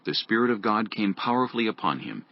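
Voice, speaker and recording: Deep, gravelly male Bible narrator. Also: very animated, fast talker. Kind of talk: scripted dramatic narration. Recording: dull lo-fi audio with music. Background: background music.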